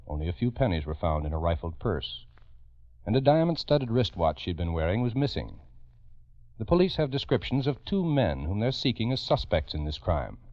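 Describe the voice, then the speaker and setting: Grave, low-voiced 1940s radio crime host, man. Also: very animated, moderate pace, a little mellow, no room sound. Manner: grave, emphatic, urgent. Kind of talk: dramatic 1940s radio narration. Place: radio studio. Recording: dull old radio recording.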